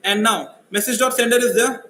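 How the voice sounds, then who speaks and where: A man running a live coding workshop, speaking loudly and asking his audience questions. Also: very high voice, steady tone, fairly quick, reverberant, slight background noise. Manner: loud voice, asks the audience questions. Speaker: workshop instructor. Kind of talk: live coding workshop, mixing English and Hindi.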